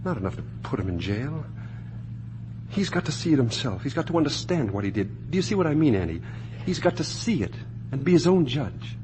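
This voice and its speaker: A man's voice: medium pitch, big pitch swings, fairly quick, natural voice. An actor playing an anguished, idealistic young man in a 1950s radio drama, intense and morally outraged at his father. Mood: brooding, grieving. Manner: intense, emotional, accusing. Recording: noisy vintage 1950 radio broadcast.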